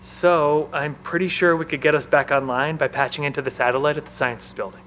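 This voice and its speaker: A man's voice: on the high side, fairly even intonation, fairly quick, a bit bright, slight background noise, close to an everyday voice. An actor playing an anxious, protective male bunker technician and new father in an audio drama. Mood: grim, worried.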